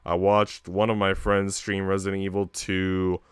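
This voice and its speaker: A man's voice: deep voice, monotone, measured pace, clear, resonant tone, no room sound, studio quality. A man talking casually on a livestream, rambling along in slangy speech and swearing freely. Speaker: streamer reading a visual novel aloud.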